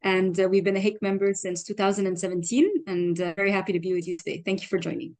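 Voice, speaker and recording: Mid-pitched woman introducing herself. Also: flat delivery, fast talker, a little mellow. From Egypt. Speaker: facilitator. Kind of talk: self-introduction in an online meeting. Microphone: video call.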